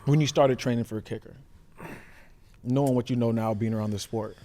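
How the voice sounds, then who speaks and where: A man's voice: mid-range voice, fairly even intonation, a little fast, mellow. A man co-hosting a casual sports interview, conversational and repeating phrases for emphasis. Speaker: sports show co-host, former American football safety.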